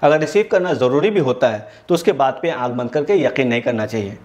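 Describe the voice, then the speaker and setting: A man's voice: medium pitch, lively intonation, brisk, darkish tone. An Indian-accented man presenting an educational tech video, explaining things step by step and mixing in English terms. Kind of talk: explanatory tutorial narration.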